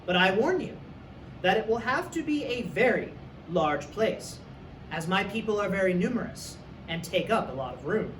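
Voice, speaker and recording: A man voicing water as a proud storybook character, in formal, measured speech. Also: sits high, big pitch swings, fairly bright tone. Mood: cautioning. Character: the water, proud personified friend of the sun. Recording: echoey room, distant mic, faint noise.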